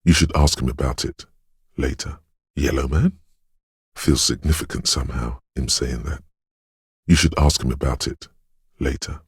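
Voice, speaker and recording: A mystical inner voice in a video game, extremely low and very husky. It narrates with brooding solemnity, lingering dreamily over its words. The sound is close and dry. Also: male voice, fairly even intonation, no room sound, exaggerated voice. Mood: intrigued, mysterious. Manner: slow-savouring, solemn, dreamlike delivery. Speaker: game voice actor.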